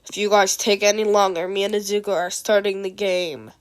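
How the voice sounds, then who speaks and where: A woman voicing a laconic, dry teenage boy with a terse, deadpan delivery in an amateur story reading. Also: mid-range voice, brisk, pitched below the performer's own voice, close to an everyday voice.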